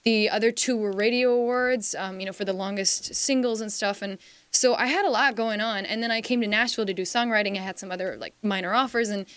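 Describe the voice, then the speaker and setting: A woman's voice: medium pitch, fairly even intonation, fairly quick, fairly bright tone, clear, resonant tone, dry recording. A young woman from Slovakia chatting as a singer guest in a radio studio, talking in long rambling runs full of fillers and "like"s. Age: young adult. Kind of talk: casual interview chat. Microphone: studio microphone.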